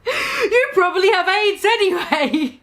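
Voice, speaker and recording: A woman's voice: very high voice, sing-song, a little slow, fairly bright tone, pitched above the performer's own voice, full character voice. A woman voicing an angry, foul-mouthed, huffy teenage goth girl in an overdramatic way for a comic story reading. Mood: furious, indignant.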